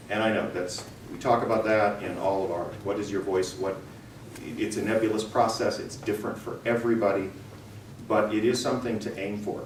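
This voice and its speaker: A middle-aged man giving an informal, conversational and emphatic talk to students. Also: fairly low pitch. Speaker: guest speaker, radio theater company owner. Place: room, talk to an audience of students. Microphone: distant from the speaker. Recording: roomy, distant mic with background noise.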